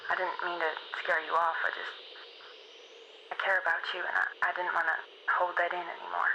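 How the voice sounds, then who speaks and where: A woman playing an earnest, vulnerable young woman in a naturalistic radio play, speaking haltingly through a radio filter. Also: lowish voice, creaky. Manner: hesitant, halting, soft-hearted. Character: earnest, hurt young woman confessing over two-way radio. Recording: noisy crackly radio link.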